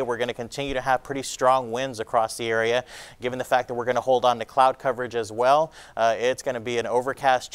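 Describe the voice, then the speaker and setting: An American man in his thirties presents the weather on television. He talks quickly in a smooth, clean voice at an ordinary male pitch, explaining conditions off the cuff in a studio. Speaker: TV weather forecaster. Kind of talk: ad-libbed broadcast weather forecast. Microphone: lapel mic.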